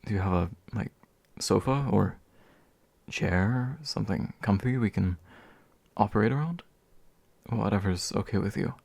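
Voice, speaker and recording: A man playing a courteous, gentle demon in a soft ASMR roleplay, politely formal and a little hesitant. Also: on the low side, a little slow, vocal fry, no room sound. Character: courteous, gentle male demon offering comfort to his summoner. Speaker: ASMR voice actor.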